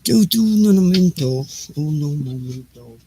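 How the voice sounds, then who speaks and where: A man's voice: fairly high pitch, expressive, moderate pace. A middle-aged man chatting off the cuff on a homemade livestream, rambling and half muttering to himself as he fiddles with his gear. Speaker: livestream host. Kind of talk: casual, unscripted livestream chatter. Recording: noisy homemade livestream setup.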